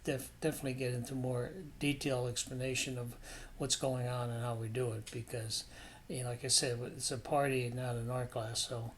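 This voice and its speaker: A man's voice: mid-range voice, little pitch movement, a little fast, slight background noise. Casual man explaining a painting demo. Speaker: painting instructor and artist. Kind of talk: informal voice-over narration of a painting demonstration.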